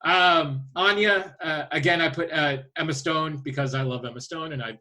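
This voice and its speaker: A man with a fairly high, bright and forward voice co-hosts a podcast. He chats casually, with informal, somewhat hesitant phrasing. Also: moderate pace. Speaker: podcast co-host. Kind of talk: casual podcast chat about films and games.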